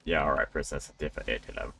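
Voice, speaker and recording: A man's voice: extremely low pitch, moderate pace, creaky, sharp tone. A man doing casual live gameplay commentary as a streamer, thinking aloud as he plays. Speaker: game streamer. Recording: game music faintly behind. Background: faint video game music.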